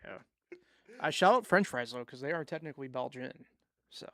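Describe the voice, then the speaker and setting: A man's voice: medium pitch, animated, moderate pace, pushed and bright. A young man co-hosting a casual sports chat, talking loosely with lots of fillers and teasing his co-host. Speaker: podcast co-host.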